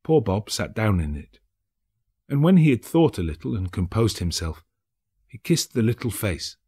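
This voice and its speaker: Expressive, solemn male storybook narrator. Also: lowish voice, moderate pace, slightly rough. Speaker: narrator, actor reading an audiobook. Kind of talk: dramatic scripted storybook narration.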